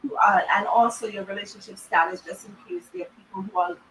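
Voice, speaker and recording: Bright Trinidadian woman hosting a talk show. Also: medium pitch, fast talker, recorded from a distance, slight background noise, poor audio. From Trinidad and Tobago. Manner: lively, laughing and teasing guests. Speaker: host.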